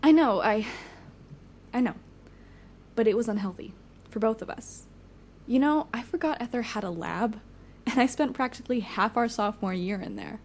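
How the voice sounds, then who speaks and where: A woman's voice: on the high side, moderate pace, darkish tone, a little hiss or hum, natural voice. An actress playing a weary, frank young woman, a supportive friend, in casual audio drama dialogue. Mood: weary, firm. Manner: casual, candid.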